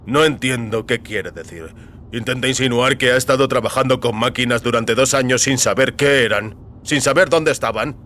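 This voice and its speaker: A man's voice: mid-range voice, moderate pace, a bit bright, a little hiss or hum, lightly acted. Man playing a stern, insistent interrogating officer. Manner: pressing, clipped questioning. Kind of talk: audio fiction acting.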